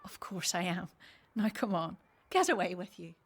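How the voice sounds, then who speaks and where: An actress playing a wistful, loving older wife in a radio play, soft-hearted and plaintive. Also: female voice, on the low side, very animated, moderate pace. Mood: reassuring.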